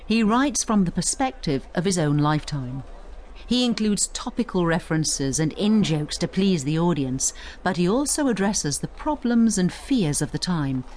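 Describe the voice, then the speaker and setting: A woman's voice: fairly low pitch, a little mellow, slight background noise. A woman narrating a scripted educational guide, explaining emphatically and addressing the listener directly. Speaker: narrator and tutor of an audio study guide.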